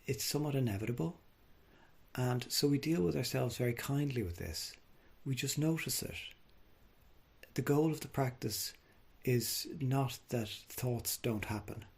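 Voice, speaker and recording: Gravelly, husky man guiding meditation. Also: mid-range voice. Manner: gentle, unhurried, with long pauses. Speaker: mindfulness meditation teacher. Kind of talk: guided body scan meditation.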